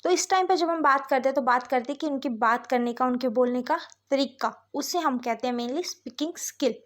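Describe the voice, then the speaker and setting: A woman's voice: high-pitched, normal speed, fairly bright tone. A woman with an Indian accent giving an explanatory lecture as a teacher, mixing Hindi and English and often checking that listeners follow.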